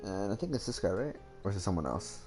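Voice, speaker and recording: A man's voice: low-pitched, very animated, fast talker, darkish tone, soft background music. A young man giving casual, rambling, slangy commentary as he plays a game. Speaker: gaming streamer.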